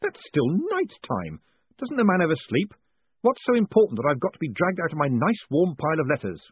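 A man voicing a wary, quick-witted young con man who sounds wry and nervy. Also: a little high, wildly swooping pitch, breathy edge, no room sound, voice pushed up, heavily acted. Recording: tinny phone-like audio.

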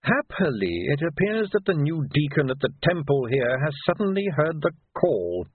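A coolly composed aristocratic ruler, as a male narrator plays him, formal and understated. He is measured and subtly ironic, with pitch that lilts widely, on a dry, phone-like audiobook recording. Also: very animated, slightly rough, no room sound. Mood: smooth, ironic.

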